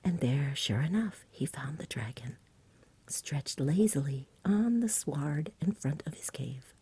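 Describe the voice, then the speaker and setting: A woman reads a storybook in a gentle ASMR manner. Her voice is low, very breathy and mostly whispered, with a mellow softness. She takes her time and stays hushed. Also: lively intonation, unhurried. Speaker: storybook reader. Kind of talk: soft-spoken ASMR bedtime story reading.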